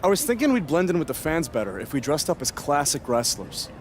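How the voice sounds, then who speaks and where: A goofy male wrestler sidekick in a video game, up for anything. His voice sits a little high and moves around freely, casual and wry, with faint noise behind it. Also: very animated, fairly quick. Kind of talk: video game cutscene acting.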